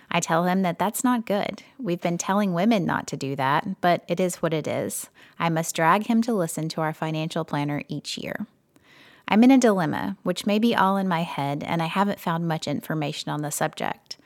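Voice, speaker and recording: A woman co-hosting a personal finance podcast, reading a listener's letter aloud in a steady, measured way. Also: fairly low pitch, dry recording, studio quality. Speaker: podcast co-host.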